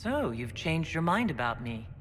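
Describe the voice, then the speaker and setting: A woman's voice: sits low, big pitch swings, moderate pace, a little hiss or hum. An actress playing a guarded female dwarf hero in a video game, wary, understated and questioning. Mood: skeptical. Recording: echoey hall with music behind.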